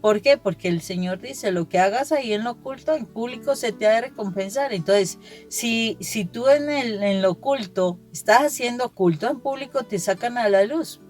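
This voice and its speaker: A woman's voice: a little high, normal speed. A woman giving a conversational religious reflection, explaining earnestly and restating her points as she goes. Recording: soft guitar music behind the voice. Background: guitar music.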